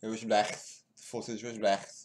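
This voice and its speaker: A man's voice: on the low side, little pitch movement, moderate pace. A man muttering casual, crude commentary to himself while he sets up and watches video clips at home. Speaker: video host.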